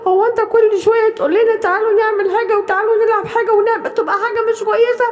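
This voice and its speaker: A woman voicing a naive, excitable young forest animal in a children's cartoon, childlike and pleading, with an Egyptian accent. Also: very high voice, very fast, recorded from a distance, dull audio. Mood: eager. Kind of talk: children's cartoon storytelling in colloquial Arabic. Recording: long hall-like reverb.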